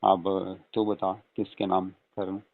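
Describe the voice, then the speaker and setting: A man's voice: deep voice, completely flat delivery, slow pace, vocal fry, no echo, full character voice. A man playing a flirtatious, coaxing father-in-law in a casual, intimate phone-call role-play, murmuring and adding questioning hums. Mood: persuasive. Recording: tinny phone-line call recording.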